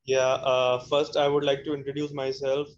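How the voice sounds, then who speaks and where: A man from India, an event planner talking haltingly with many fillers on an online panel. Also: mid-range voice, monotone, dull audio.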